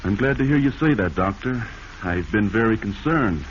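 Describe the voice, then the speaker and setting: A smooth, calculating nephew, acted by a man, with a very deep voice and a glib, outwardly earnest delivery. It is old-time radio acting on a dull recording with light noise. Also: animated, normal speed, close to an everyday voice. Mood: feigned worry. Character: cold, scheming nephew, smooth-talking and feigning concern. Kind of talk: 1940s radio drama acting. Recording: noisy, muffled 1940s radio recording.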